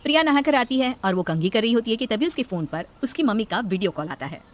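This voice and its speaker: Lively woman story narrator. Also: a little high, fast talker.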